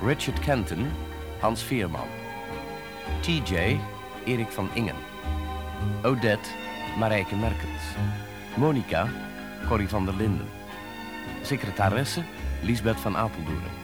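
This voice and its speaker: A man formally reading the closing credits of a radio play in a measured announcer's manner. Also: on the low side, expressive. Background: music.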